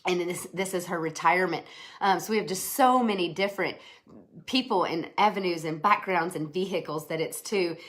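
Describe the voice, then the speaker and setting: A woman in her thirties with a slight head cold, giving an earnest, chatty business pitch on a live stream, with many fillers and restarts. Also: moderate pace, fairly bright tone. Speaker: network marketing presenter.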